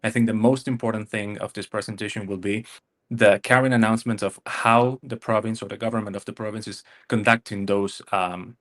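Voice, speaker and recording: A man from Argentina, speaking, presents a webinar in a somewhat low voice. He hesitates often, restarting phrases and filling pauses as he explains. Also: steady tone, fairly bright tone. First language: Spanish. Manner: hesitant, many fillers and restarts. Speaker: immigration consultant.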